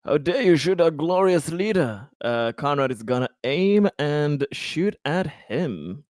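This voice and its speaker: A man's voice: fairly high pitch, lively intonation, unhurried, a little mellow, dry recording, clean studio sound. A man playing a tabletop game, chatting casually and sometimes slipping playfully into character. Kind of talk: casual chat, some playful in-character talk.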